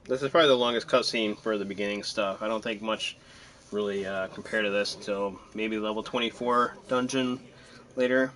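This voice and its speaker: Male gamer, low voice, casual rambling commentary over a video game, faint noise behind. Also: steady tone, moderate pace, a bit bright.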